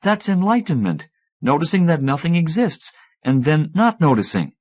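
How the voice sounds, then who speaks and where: A man's voice: a little high, big pitch swings, moderate pace, darkish tone, voice pushed up. A man voicing a chiding, flirtatious, airy woman in audiobook dialogue. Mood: airy, musing.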